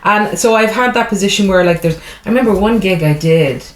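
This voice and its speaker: A woman's voice: medium pitch, brisk, slight background noise. An Irish woman, a comedian guest, talking frankly and chattily in a casual interview. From Ireland. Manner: frank and chatty, with laughing asides. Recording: echoey room.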